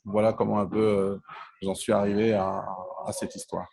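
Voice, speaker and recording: A man's voice: on the low side, little pitch movement, normal speed, vocal fry, warm tone, a little hiss or hum. A man from Congo in his forties, answering interview questions in a hesitant way, with frequent fillers and restarts. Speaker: interview guest, telecom entrepreneur.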